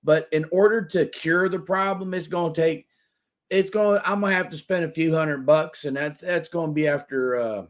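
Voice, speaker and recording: A man's voice: fairly high pitch, normal speed. A man hosting a casual commentary stream, rambling with restarts and mocking remarks.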